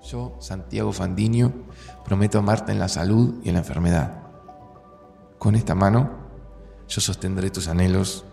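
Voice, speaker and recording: A frazzled, dramatic Argentine groom in an audio drama. His voice sits a little low and creaks, and he sounds harried, with faint music in the production. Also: normal speed, long pauses, mellow. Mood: solemn, tender. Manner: harried, stammering. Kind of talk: Spanish-language audio fiction acting.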